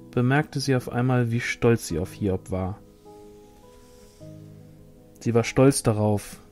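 A man reading a fantasy novel aloud as scripted narration, in a measured storytelling manner. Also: mid-range voice, darkish tone. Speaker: audiobook reader.

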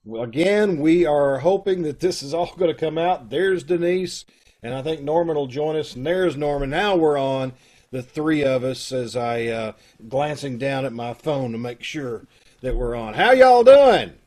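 A man's voice: a little high, lively intonation, measured pace, a little mellow. Middle aged guy hosting a live show over video call, casual announcing and chatting. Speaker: host introducing a live radio troupe show.

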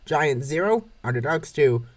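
High-pitched, lively man calling baseball plays. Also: normal speed. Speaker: narrator of a comic dub series. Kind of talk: scripted play-by-play narration of a comic baseball game.

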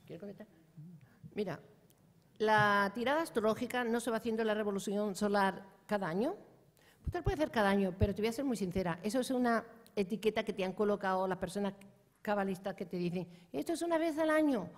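An older woman speaking as a conference panelist, blunt and emphatic, at a table mic in a large conference hall. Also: fairly high pitch. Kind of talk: panel talk and Q&A answers.

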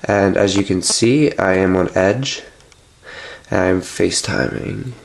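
A young man with a blocked, stuffy nose talks a little low as he explains phone settings step by step. His delivery is casual and meandering, full of little filler sounds, picked up by a camera close by indoors. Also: slow, vocal fry, a little hiss or hum. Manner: loose and chatty, many filler sounds. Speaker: tech tutorial host.

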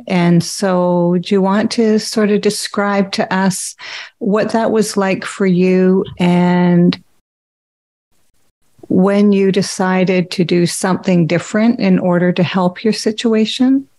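A woman's voice: mid-range voice, warm tone, dry recording. A woman hosting a podcast, reading a formal guest introduction and asking questions. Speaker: podcast host. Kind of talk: scripted introduction and interview.

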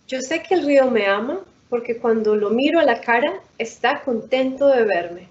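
Woman, fairly high voice, picture book read-aloud, storytime co-reader, muffled lo-fi sound. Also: animated, brisk, a little mellow. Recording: dull lo-fi video call audio.

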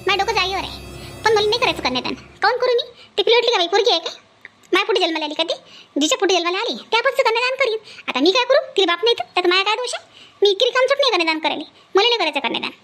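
Woman doing a sulky bitter cartoon auntie, super high voice, rattles off sarcasm, varhadi marathi accent. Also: expressive, rapid-fire, soft background music. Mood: defiant, irritated. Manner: sharp-tongued, sarcastic, defiant. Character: spiteful, sulking aunt refusing a wedding duty. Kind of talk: amateur cartoon comedy dialogue.

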